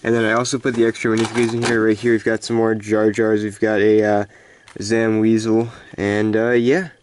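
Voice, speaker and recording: A man with a congested voice giving a casual, halting, unscripted show-and-tell of a collection. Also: lowish voice, completely flat delivery, a little mellow, dry recording. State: stuffed-up, congested voice. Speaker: hobby collector hosting a video.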